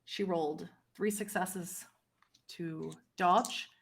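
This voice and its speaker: A woman with a slightly low voice leads a tabletop roleplaying session as game master. She describes scenes to her players and explains the rules as she goes. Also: steady tone, slow pace, creaky, background noise.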